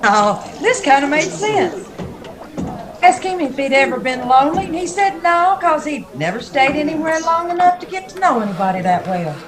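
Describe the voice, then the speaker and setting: A woman's voice: sits high, sing-song, normal speed, crowd noise. An actress playing a caring, watchful saloon woman in a vintage radio Western, familiar and concerned. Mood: reflective.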